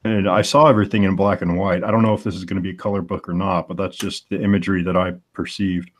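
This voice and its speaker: Male comic artist, forties, very low voice, thoughtful and searching delivery in casual stream chat. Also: level tone, fast. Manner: thoughtful, searches aloud for the right words.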